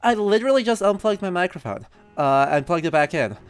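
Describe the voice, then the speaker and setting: Male game streamer: clean, slightly high voice, chatty, wry and bookish commentary over soft game music. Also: big pitch swings, a little fast, smooth, no echo. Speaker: live streamer. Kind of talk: casual game commentary with historical analysis. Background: faint game music.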